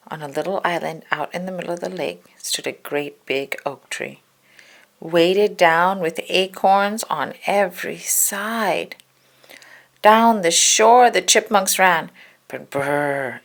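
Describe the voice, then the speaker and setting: A woman's voice: fairly low pitch, slow, vocal fry. A woman narrating a children's story as a read-aloud. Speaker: storybook reader.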